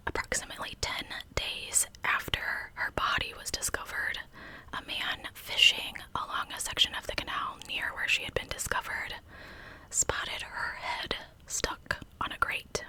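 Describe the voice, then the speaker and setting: A woman hosting an ASMR channel, narrating in a breathy, intimate whisper with casual asides. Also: bright, forceful tone, poor audio. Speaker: host of a true crime ASMR channel.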